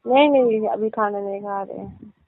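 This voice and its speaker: A woman's voice: medium pitch, moderate pace, no room sound. A woman chatting casually on a private phone call, answering in a curt, rebuffing way. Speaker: caller, sister-in-law. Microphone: phone call recording. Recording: thin, band-limited phone line.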